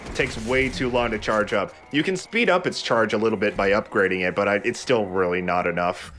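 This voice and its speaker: Low-voiced man doing casual game commentary. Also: fairly quick, fairly bright tone. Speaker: let's play host playing the game. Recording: game music behind the voice. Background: video game music.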